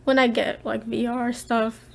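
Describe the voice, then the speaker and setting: Soft-spoken young woman hosting a podcast. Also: fairly high pitch, little pitch movement, measured pace, vocal fry. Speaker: podcast host. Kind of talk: casual podcast chat.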